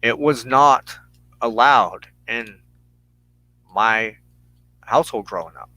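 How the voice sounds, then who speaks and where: An American man giving an earnest personal monologue as a broadcast host, speaking in deliberate, halting phrases with emphatic pauses. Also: medium pitch, expressive, pushed and bright, no echo, rough recording. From United States. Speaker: host of a weekly religious broadcast.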